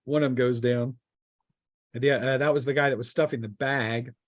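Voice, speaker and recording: Man, mid-pitched voice, casual game master narration, halting delivery, close dry sound. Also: steady tone, no echo.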